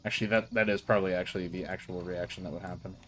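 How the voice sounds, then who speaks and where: A man's voice: deep voice, steady tone, very fast, a bit bright. A man hosting a casual co-op gaming session with friends, rambling and correcting himself as he talks, often breaking into laughter, on a headset mic. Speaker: streamer hosting a co-op game session.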